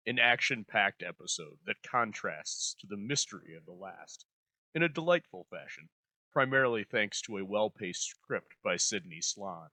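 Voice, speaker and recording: A man's voice: lowish voice, animated, normal speed, bright, forceful tone, dry recording. A man hosting with scripted narration to introduce an old-time radio episode.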